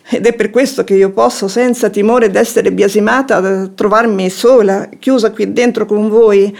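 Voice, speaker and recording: An actress playing a shrewd, composed wife who feigns affection, with formal, eloquent theatrical phrasing in a radio drama. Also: female voice, a little high, darkish tone, natural voice. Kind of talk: theatrical radio drama acting of classic comedy.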